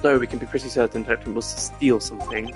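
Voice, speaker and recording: A man voicing a cool, formal prosecutor from a video game, speaking in a measured, pompous way. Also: medium pitch, fairly even intonation, lightly acted. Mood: composed, pondering. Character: cool, formal male prosecutor with deductive mind. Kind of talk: amateur video game voice-over. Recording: loud music under the voice.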